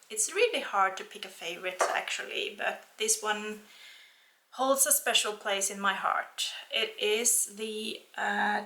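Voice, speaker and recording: Slow, bright-voiced Norwegian woman. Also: medium pitch, reverberant. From Norway. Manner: soft-spoken, gentle, unhurried with fillers. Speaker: knitting vlogger. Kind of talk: casual chat to camera.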